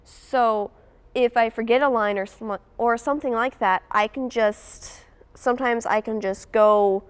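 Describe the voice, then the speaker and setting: A woman's voice: on the high side, fairly even intonation, measured pace, clear, resonant tone. A young woman, a student actress, talking casually in an interview about her own approach. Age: teens to young adult.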